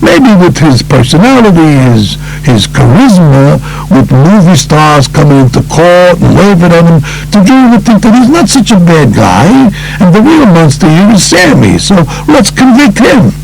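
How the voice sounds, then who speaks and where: A man voicing a tough, aggrieved, profane mob underboss in an audiobook, blunt and streetwise, with a gravelly voice. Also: high-pitched, very animated, moderate pace, few pauses, a little mellow, a little hiss or hum, pitched above the performer's own voice, exaggerated voice, rough recording.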